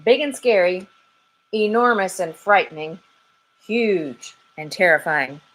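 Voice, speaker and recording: A woman voicing a tough, no-nonsense female chick detective who talks in a brisk, blunt way. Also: medium pitch, expressive, fairly bright tone, low-quality recording. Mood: impatient.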